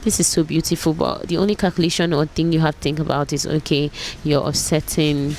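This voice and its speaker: A woman with a low-pitched voice talks through a tutorial in a casual, explanatory way. She presents like a pilot vlogger, with faint noise behind her. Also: flat delivery, brisk, a little mellow, rough recording.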